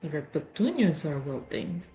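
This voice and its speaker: A woman playing a tabletop roleplaying game, chatting casually and improvising in character in a halting, easily distracted way. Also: low voice, sing-song, warm tone, faint music behind.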